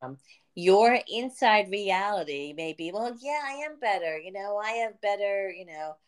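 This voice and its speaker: A woman's voice: mid-range voice, animated, measured pace, clear, resonant tone. A female business coach hosting a podcast, explaining marketing earnestly with a chatty, um-filled delivery. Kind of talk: casual podcast chat.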